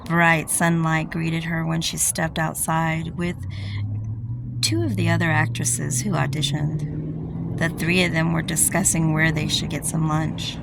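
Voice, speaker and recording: An informal woman reads fiction aloud like an amateur audiobook narrator, slipping easily into chatty asides. Her voice sits low and has a gravelly fry to it. There is clear ambient noise behind her, like vehicles. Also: level tone, unhurried. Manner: informal, interrupts own reading to comment. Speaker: amateur audiobook reader and vlogger. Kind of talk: reading a romance novel aloud with casual personal asides.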